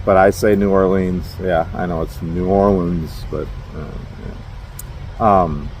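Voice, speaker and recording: A man's voice: sits low, fairly even intonation, a little slow, a little mellow, a little hiss or hum, rough recording. A middle-aged man from Minnesota with a Minnesotan accent, talking casually to camera from his parked truck at a rest area, rambling and chuckling at himself. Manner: rambling, self-deprecating, chuckles often. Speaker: truck driver vlogger.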